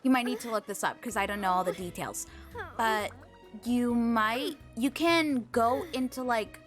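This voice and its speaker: Slow-paced, higher-voiced woman livestreamer. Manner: gushing, thankful, girlish slang. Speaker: video game livestreamer. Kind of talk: casual chat with stream viewers. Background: game music.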